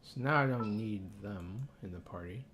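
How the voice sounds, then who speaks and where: A man's voice: fairly low pitch, moderate pace, crowd noise. A man giving casual gameplay commentary as a streamer, thinking aloud in short remarks. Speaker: game streamer. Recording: clearly noisy, clicking sounds.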